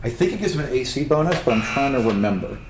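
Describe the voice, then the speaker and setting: Male game master, conversational, talks players through rules and scenes, echoey room with clear background noise. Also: mid-range voice, expressive, quick pace. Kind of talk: narrating a tabletop game.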